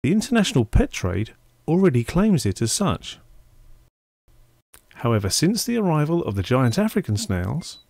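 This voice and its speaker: A man giving scripted narration for a public information film in a measured, informative way. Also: on the high side, very animated, vocal fry, a little mellow, no room sound. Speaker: documentary narrator.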